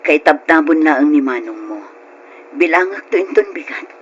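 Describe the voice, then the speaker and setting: A woman's voice: sits low, animated, moderate pace, slightly rough, muffled recording. An actress playing a meek, grieving middle-aged widow and mother in an old-time Ilocano radio drama, plaintive and hesitant. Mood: subdued, hesitant.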